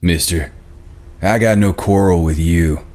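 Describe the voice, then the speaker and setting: A low, deep male voice from an actor as a western drifter gunslinger. He speaks in clipped, guarded lines with a cool threat underneath, as in a screenplay table read. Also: unhurried, darkish tone. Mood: cold warning. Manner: laconic, guarded, coolly threatening.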